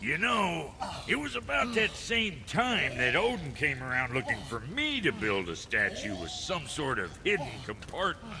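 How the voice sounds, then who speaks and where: An actor playing a crude, irritable dwarf blacksmith in a video game, brash and salty in manner. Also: male voice, fairly high pitch, sing-song, normal speed, hardly any pauses, crowd noise. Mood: gruff, scornful. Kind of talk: dramatic video game acting.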